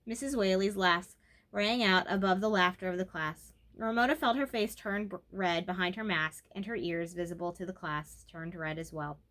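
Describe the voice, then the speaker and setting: A woman's voice: lowish voice, normal speed, a bit bright. A woman reading a children's book aloud as narrator. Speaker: storybook reader.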